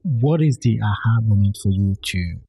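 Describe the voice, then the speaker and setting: A man with a Nigerian accent runs a relaxed interview, his voice low and a little husky. He probes and restates what his guest says. The sound is close and dry, with no room around it. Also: moderate pace, dry recording, soft background music. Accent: Nigerian (Yoruba). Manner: probing, restates guest's points. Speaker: podcast host. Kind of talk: conversational interview.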